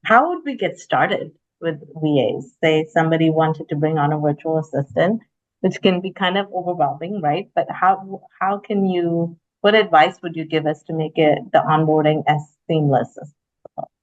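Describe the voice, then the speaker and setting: Low-voiced woman host, muffled sound. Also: moderate pace, a little mellow. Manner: encouraging, frequent backchannel affirmations. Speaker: podcast host. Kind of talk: interview questions.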